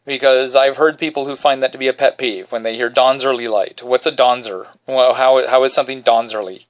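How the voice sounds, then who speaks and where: A man hosting a podcast from his bedroom, telling a self-deprecating story in a casual, animated way and singing short snippets quietly. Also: a little fast, poor audio. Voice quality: baritone. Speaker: podcast host.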